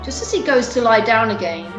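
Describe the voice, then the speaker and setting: A woman's voice: on the high side, animated, brisk, over music. Female storyteller in a roomy space. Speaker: narrator. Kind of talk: storytelling narration in amateur radio play. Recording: roomy home video call.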